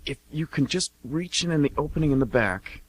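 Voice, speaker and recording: A man's voice: a little high, animated, fairly quick, husky, low-quality recording. A man playing a shy, awkward, stammering young man in an amateur radio comedy. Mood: shy, flustered. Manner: awkward, stammering, eager to please. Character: shy, flustered young man in bird costume selling candy.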